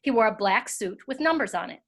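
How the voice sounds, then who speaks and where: A woman's voice: on the high side, expressive, a little fast, fairly bright tone. A woman narrating a storybook as part of a scripted readers theater.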